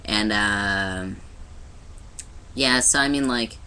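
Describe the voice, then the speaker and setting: A man's voice: fairly low pitch, extremely slow, bright, forceful tone, clean tone. A young man talking casually to his camera in a personal video diary, rambling with many restarts and fillers. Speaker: vlogger. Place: indoors in front of a light.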